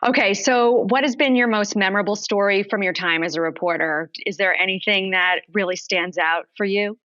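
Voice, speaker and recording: Woman, interview host, bright crisp-edged tone, conversational questioning, very dry room sound. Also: medium pitch, moderate pace, dry recording. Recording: dry, dull band-limited sound.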